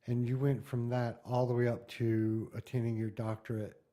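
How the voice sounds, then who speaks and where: A man's voice: mid-range voice, flat delivery, moderate pace, mellow. A man of fifty, interviewing an elder and asking questions hesitantly, with many fillers. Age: 50s. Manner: hesitant, filler-heavy, ad-libbing. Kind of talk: oral history interview.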